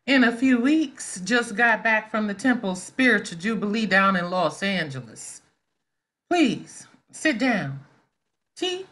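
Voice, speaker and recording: A woman's voice: mid-range voice, very animated, slow, a bit bright. A woman plays a middle-aged schoolteacher in a 1970s stage drama. She is proud and mouthy, with a pointed, needling way of talking. It is a reading over a video call. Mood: conversational. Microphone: video call microphone.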